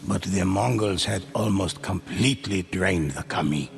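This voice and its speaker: An actor playing a solemn storyteller of ghostly samurai legends, narrating a game in a measured, dramatic way. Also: male voice, deep voice, vocal fry, slight background noise. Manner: slow, dramatic, measured delivery. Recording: music under the voice.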